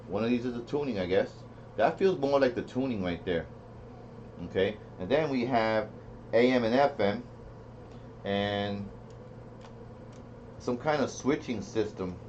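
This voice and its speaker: Muffled lo-fi audio, faint noise, man, mid-pitched voice, casual product reviewer, thinking aloud, repetitive. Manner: rambling, thinking aloud, repeats himself. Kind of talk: casual unscripted product demo. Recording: dull lo-fi sound with faint noise.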